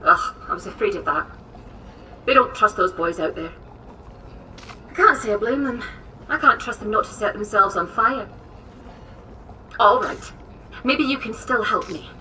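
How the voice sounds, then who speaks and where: An actress playing a brisk, eccentric captive woman scientist in a video game, bossy and chipper with a British accent. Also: mid-range voice, animated, bright, forceful tone, recorded from a distance, slight background noise, rough recording. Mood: brisk, businesslike. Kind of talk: video game character acting.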